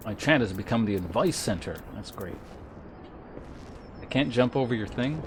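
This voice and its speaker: A man's voice: medium pitch, lively intonation, moderate pace, noisy background. A man chatting casually as a game streamer, in a wry, self-deprecating way. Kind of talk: casual live stream chat.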